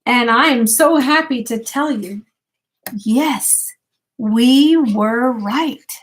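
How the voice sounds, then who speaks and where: A woman's voice: on the high side, drawn-out words, a little hiss or hum. An older woman, a grandmother, reads a rhyming picture book aloud expressively and unhurriedly, speaking directly to young children. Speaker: grandmother reading a bedtime story to children.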